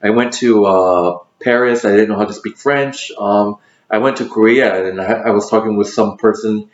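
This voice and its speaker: A man's voice: fairly low pitch, normal speed. A man hosting a casual question game, talking with many fillers and restarts. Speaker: host. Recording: roomy, dull lo-fi sound.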